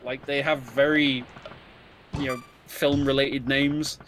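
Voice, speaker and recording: A man's voice: mid-range voice, level tone, slow, fairly bright tone, slight background noise. A man giving chatty, emphatic co-commentary on a video game speedrun, explaining it casually and repeating words for stress. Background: video game music.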